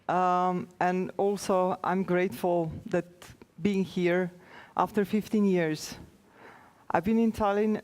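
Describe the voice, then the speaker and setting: A woman with a Slovenian accent, a native Slovenian speaker, giving an informal conference presentation as an official, in a hesitant, halting way with many pauses. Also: mid-range voice, a little mellow.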